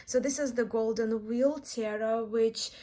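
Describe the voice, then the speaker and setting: Slow-paced Eastern European woman, higher voice. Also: steady tone, warm tone. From Belarus, born in Russia. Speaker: tarot deck reviewer, vlogger. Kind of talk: casual chat to viewers, show-and-tell.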